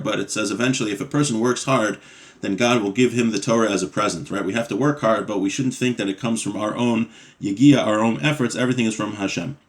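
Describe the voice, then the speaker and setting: A man teaching a weekly religious study class. He reads passages in Hebrew, then translates and explains them in conversational English, often checking in with "right?" and "you know.". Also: medium pitch.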